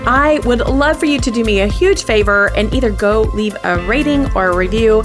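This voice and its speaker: A woman hosting a podcast, giving an upbeat, warm scripted sign-off. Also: a little high, moderate pace. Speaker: podcast host. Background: music.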